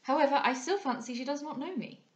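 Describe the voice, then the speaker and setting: An earnest young gentlewoman acted by a woman reader with a fairly high voice and courteous, measured phrasing. She belongs to a period novel read aloud in an echoey room. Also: lightly acted. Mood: polite, concerned. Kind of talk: dramatised audiobook reading of a period novel.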